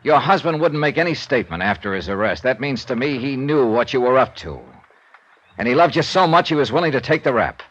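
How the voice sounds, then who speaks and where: A man's voice: mid-range voice, animated, fairly quick, a bit bright, highs cut off. An actor playing a wry hard-boiled male insurance investigator who narrates, dry and clipped, in 1950s radio drama style. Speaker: narrator and lead. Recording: old radio transcription with music underneath.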